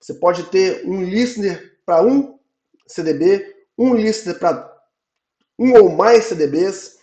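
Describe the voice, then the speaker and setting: Guy with a cold teaching databases, kinda high voice, roomy echo. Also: expressive, slow pace, mellow. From Brazil. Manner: explains in repeated checks, ending phrases with "tá?". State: hoarse from a cold. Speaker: database instructor. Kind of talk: online technical lecture.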